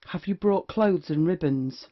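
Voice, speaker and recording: A performer playing a witty, lewd, cynical gentleman rake in an audio play of a period comedy. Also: mid-range voice, unhurried, lightly acted. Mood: brisk. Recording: dull, lo-fi audio.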